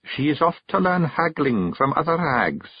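A male narrator voicing a shrewd young fairy wife and clan mother with a Scottish accent, sweet, measured and quietly menacing. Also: a little high, very animated, breathy edge, no echo, lo-fi sound, voice pushed up, heavily acted. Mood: sweetly stern.

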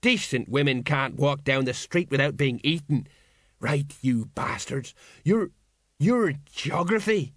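A man's voice: mid-range voice, expressive, measured pace, no room sound, studio quality. A man voicing a blustering, anxious older watch sergeant who grumbles in colloquial speech, in an audiobook story. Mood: indignant.

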